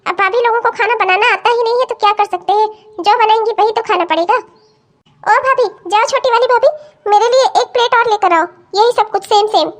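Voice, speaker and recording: Woman acting a squeaky, spoiled, entitled daughter. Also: brisk. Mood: complaining, entitled. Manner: whiny, demanding, haughty. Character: spoiled, entitled married daughter, bossy to sisters-in-law. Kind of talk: Hindi family melodrama story acting.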